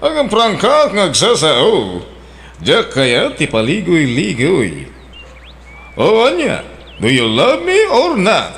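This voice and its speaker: A man's voice: high voice, huge pitch swings, takes it slowly, creaky, a bit bright, full character voice. An actor playing a forward, blunt man back from abroad who mixes English into his speech. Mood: brash. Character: returning male emigrant suitor, blunt and forward. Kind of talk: radio drama acting.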